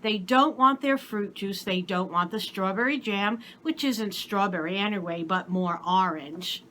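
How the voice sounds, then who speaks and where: A woman reading a story aloud in expressive, scripted storybook narration. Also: medium pitch, measured pace, a little hiss or hum. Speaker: story reader.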